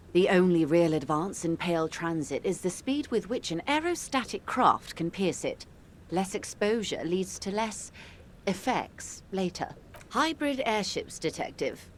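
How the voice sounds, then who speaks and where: An actress playing a composed, wealthy middle-aged corporate negotiator in a video game, speaking in a measured, articulate, world-weary way. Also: female voice, fairly low pitch, slight background noise. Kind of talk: video game character dialogue.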